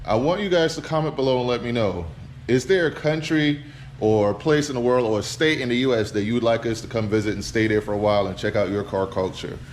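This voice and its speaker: Relaxed Trinidad-born man vlogging. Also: mid-range voice, quick pace, a little hiss or hum. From Trinidad, Caribbean. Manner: relaxed, chatty, talks straight to the camera. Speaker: car vlogger. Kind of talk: casual travel vlog.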